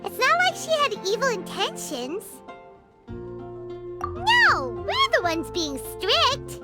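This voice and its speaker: A woman voicing a chirpy, opinionated little fairy companion in a video game, childlike and squeaky, with a cutesy, blunt manner. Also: wildly swooping pitch, slow, exaggerated voice. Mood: musing. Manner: cutesy, exclamatory, casually blunt. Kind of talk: video game cartoon-style dialogue. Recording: loud children's music behind. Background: loud tinkling, playful music.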